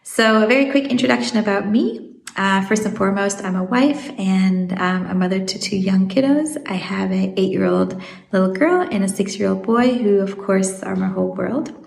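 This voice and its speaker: A woman in her thirties with an American accent, a marine biologist explaining things in an interview, measured and full of "um" fillers. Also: medium pitch, creaky, echoey room. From Swiss South African.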